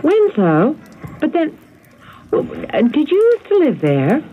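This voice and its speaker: An eager young-spirited wife from a radio play. She is polite, chatty and excitable, with very lively pitch. The sound is narrow and tinny, with a faint layer of noise. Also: slow pace, heavily acted. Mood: curious. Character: eager, chatty wife who adores antiques. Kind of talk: radio drama acting. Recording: tinny, noisy old radio broadcast.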